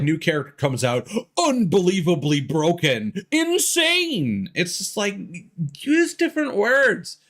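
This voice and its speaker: A man's voice: medium pitch, gravelly, a bit bright. A man chatting casually as he streams a game, rambling into sarcastic, exasperated rants. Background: game music.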